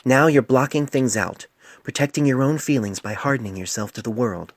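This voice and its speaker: Man voicing a measured, stern older mare doctor. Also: medium pitch, dry recording, pitched above the performer's own voice, lightly acted. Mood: earnest, admonishing. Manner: measured, grave, admonishing. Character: stern, wise older female pony attending physician.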